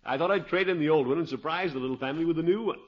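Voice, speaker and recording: An actor playing a pompous, fussy but good-natured middle-aged uncle in a 1950s radio comedy, blustery and chuckling. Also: male voice, fairly high pitch, rapid-fire, natural voice. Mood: fussy, genial. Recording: muffled old radio broadcast.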